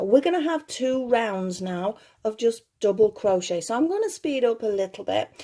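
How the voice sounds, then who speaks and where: A woman giving casual step-by-step craft instruction in a slightly gruff voice, chatty and reassuring, often correcting herself as she goes. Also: mellow. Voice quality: slightly gruff, lower than her usual voice. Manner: chatty, self-correcting, reassuring toward beginners. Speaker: crochet tutorial host.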